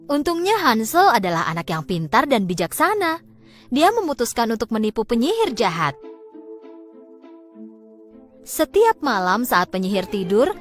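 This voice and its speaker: A woman narrating a scripted children's fairy tale as storyteller, in an expressive, dramatic way. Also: fairly high pitch.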